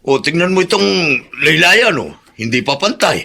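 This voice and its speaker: Radio drama, scornful male customer: Filipino accent, forceful, rough-edged husky delivery, exasperated. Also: on the high side, sing-song, moderate pace, full character voice. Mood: indignant. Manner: exasperated, belittling complaints. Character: gruff, scornful male customer berating a seamstress.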